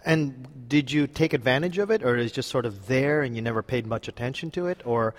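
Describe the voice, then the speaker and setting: A man's voice: medium pitch, a little fast, in a large hall. A man from the Philippines interviewing in a chatty, probing conversational style.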